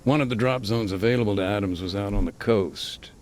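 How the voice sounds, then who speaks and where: An actor playing a middle-aged, war-weary former peacekeeper, speaking plainly with military jargon and dry humor, in a game cutscene. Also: male voice, on the low side, a little fast, a little mellow, a little hiss or hum, close to an everyday voice. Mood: reflective. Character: war-weary male ex-peacekeeper telling his story. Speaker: interviewee. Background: music.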